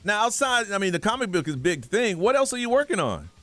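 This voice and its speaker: A man's voice: sits high, big pitch swings, fast, fairly bright tone, no echo, soft background music. A man hosting a live radio morning show, interviewing a guest in an upbeat, effusive way from the studio mic. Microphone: studio microphone.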